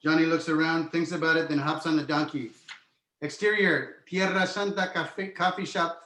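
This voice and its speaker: A man narrates a screenplay, reading out the stage directions. His voice sits a little high, and the room adds a clear echo. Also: steady tone, normal speed, a bit bright. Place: indoor room on virtual call. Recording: echoey room.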